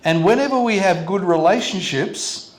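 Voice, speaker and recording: An older man with an Australian accent gives a devotional sermon through an interpreter, keeping his phrases short and gentle. His voice sits a little high for a man. The sound carries the strong echo of a large room. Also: lively intonation, normal speed. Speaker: visiting pastor. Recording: roomy hall echo.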